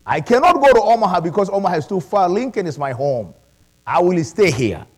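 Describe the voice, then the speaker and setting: Middle-aged preacher guy, english not his first language, voice jumps all over, kinda high. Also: fairly quick. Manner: animated preaching with calls of praise. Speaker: pastor. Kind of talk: sermon.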